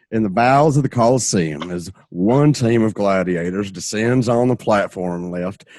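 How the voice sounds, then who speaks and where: A man narrates an amateur radio play with theatrical, playful drama. His voice is husky and animated, swinging widely in pitch, and the recording is close and dry. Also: no room sound. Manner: dramatic, playful, acts out shouts and crowd. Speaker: narrator reading stage directions.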